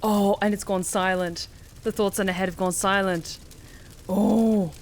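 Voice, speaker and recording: A woman's voice: mid-range voice, fairly even intonation, unhurried, a little hiss or hum. A woman streamer giving casual, blunt, exclamatory live reactions to a game. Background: game music.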